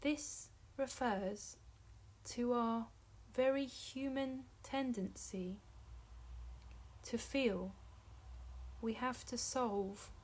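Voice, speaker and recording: A woman narrating a guided meditation as a teacher, in measured short phrases separated by long pauses. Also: fairly high pitch, a little mellow, husky.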